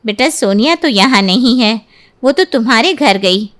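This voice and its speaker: Woman playing a high-voiced, worried mother. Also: animated, moderate pace, fairly bright tone. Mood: concerned. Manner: anxious, questioning. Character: worried, middle-aged mother of a married daughter.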